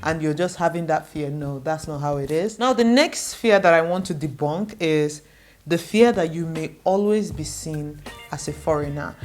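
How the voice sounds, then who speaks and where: A woman's voice: deep voice, moderate pace, darkish tone, soft background music. A young adult woman from Nigeria, a native Igbo speaker, earnestly reassuring viewers in a direct-to-camera advice vlog and repeating points for emphasis. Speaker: vlogger.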